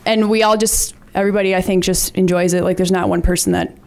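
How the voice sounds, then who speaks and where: A teenage girl, a student leader, presenting to a school board in a chatty way with many ums and likes, and she says she has a loud voice. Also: on the low side, fairly even intonation, fast. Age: teens.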